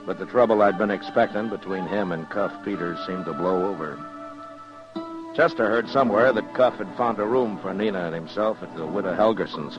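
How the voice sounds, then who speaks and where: Actor as low-voiced, steady frontier marshal. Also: male voice, lightly acted. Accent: American. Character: steady, watchful frontier town marshal, grown man. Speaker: lead actor and narrator. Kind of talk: 1950s western radio drama acting, with first-person narration. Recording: muffled 1950s radio recording, music behind.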